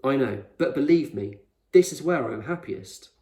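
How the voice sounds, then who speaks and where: A man voicing an eccentric, proud male explorer in a storybook, speaking with measured authority and reassurance. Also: mid-range voice, lively intonation, mellow, pitched above the performer's own voice, natural voice. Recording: roomy echo, distant microphone.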